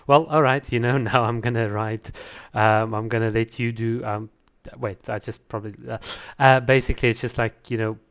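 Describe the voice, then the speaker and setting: A man's voice: medium pitch, fairly even intonation, normal speed, smooth. Emphatic man giving casual reaction commentary. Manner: emphatic, repeats words for stress. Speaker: video reviewer.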